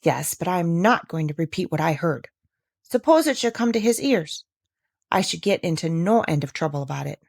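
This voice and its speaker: A woman's voice: on the low side, lively intonation, moderate pace, gravelly, a little mellow, dry recording, clean studio sound. A woman voicing a self-interested, knowing young village woman in a storybook, firm and matter-of-fact. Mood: guarded. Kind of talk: storybook character reading.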